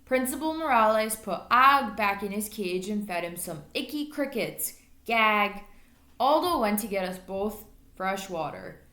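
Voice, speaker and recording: A woman's voice: medium pitch, animated, a little slow, bright, forceful tone. A woman reading a children's story aloud expressively, like an elementary school teacher. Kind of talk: storybook read-aloud narration. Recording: echoey room.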